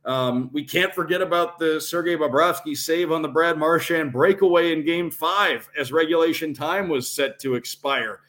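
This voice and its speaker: A man's voice: a little high, moderate pace, few pauses, fairly bright tone. A man talking as a sports broadcaster and podcast guest, analysing games and building his points step by step with emphatic repetition. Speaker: radio play-by-play announcer, podcast guest.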